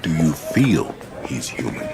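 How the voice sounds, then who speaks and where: Very deep, gravelly, deliberate questioner. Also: male voice, very animated, a crowd in the background, heavily acted. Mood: grave, probing. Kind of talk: radio drama acting.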